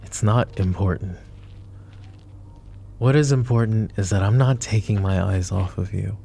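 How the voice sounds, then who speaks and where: A man voicing a possessive, commanding mafia boss in a boyfriend ASMR roleplay, speaking softly and intimately to the listener. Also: fairly low pitch, a little slow, vocal fry, slight background noise. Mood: protective. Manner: soft, intimate, addresses listener directly.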